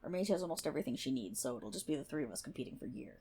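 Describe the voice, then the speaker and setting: Woman acting a brash, husky tsundere gamer girl. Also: deep voice, lively intonation, very fast, mellow, heavily acted. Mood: bossy. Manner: sarcastic, scolding, sweary. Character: brash, prickly tsundere MMO guild healer, a woman gamer. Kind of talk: ASMR roleplay, spoken one-on-one to the listener.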